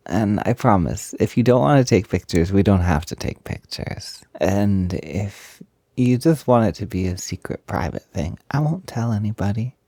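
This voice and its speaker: A man's voice: on the low side, animated, normal speed, dark tone, no echo. A young man performing a one-sided audio roleplay as a playful, eager, feminine male roommate, chatty and coaxing with a hesitant delivery. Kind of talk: intimate improvised-sounding audio roleplay, one-sided conversation.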